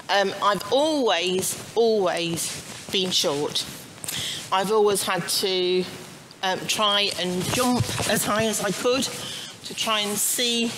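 A middle-aged woman leading an informal church service in a chatty, halting, self-deprecating way. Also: medium pitch. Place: church, standing before the altar. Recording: noticeable background noise, church ambience.

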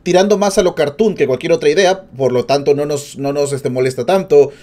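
A man's voice: a little high, a little fast, dark tone. A man giving casual reaction commentary, rambling off into joking asides. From Peru. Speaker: streamer reacting to a video.

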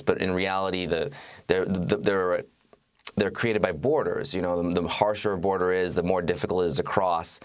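A man in his thirties speaking as an interview guest, recounting his reporting in a measured way with halting restarts. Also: low voice. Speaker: guest, journalist and author. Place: TV studio. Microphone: lapel mic.

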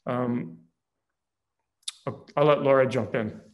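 A middle-aged man speaking as a panelist in an academic discussion over a video call from a small room at home, slow and halting with many fillers and restarts. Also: medium pitch, steady tone, highs cut off.

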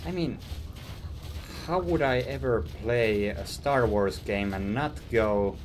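A man's voice: mid-range voice, takes it slowly, a little hiss or hum. A young man from Finland with a Finnish accent, musing casually while playing a game for his stream viewers. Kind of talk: casual gameplay commentary. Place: small room indoors.

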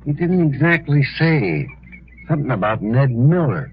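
A man's voice: medium pitch, sing-song, normal speed. An actor playing a folksy, chatty Old West townsman in a 1950s radio western. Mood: friendly, concerned. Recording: dull, hissy old 1950s radio recording.

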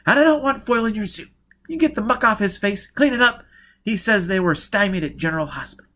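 Male narrator as brusque young woman, raised pitch, impatient, snappish, lively swings, audiobook fugitive. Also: moderate pace, slightly rough. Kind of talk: audiobook character voice.

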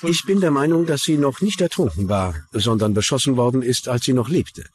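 A man's voice: mid-range voice, animated, a little fast, darkish tone, natural voice. A man speaking in the style of a dubbed documentary interview.

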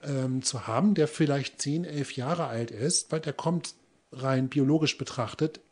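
A man talks through a review as a podcast co-host. His voice sits at a medium pitch, and he speaks conversationally. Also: a little slow, a little mellow, husky.

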